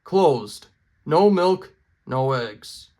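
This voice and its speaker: A man's voice: fairly high pitch, animated, drawn-out words, a little mellow. A man voicing demanding cows in a children's storybook, reading out their notes in a firm, emphatic way.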